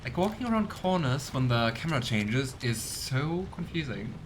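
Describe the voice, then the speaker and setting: A young man chatting casually over his gameplay, bemused and rambling, in a mid-pitched voice. Game rain hisses clearly behind him. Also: sing-song, normal speed. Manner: chatty, bemused, full of fillers like "kinda". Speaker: let's play host.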